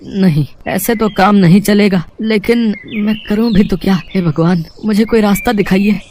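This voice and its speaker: A man voicing a humble, earnest poor village husband in an animated moral story, speaking a little hesitantly. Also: high voice, moderate pace, slight background noise, natural voice. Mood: worried.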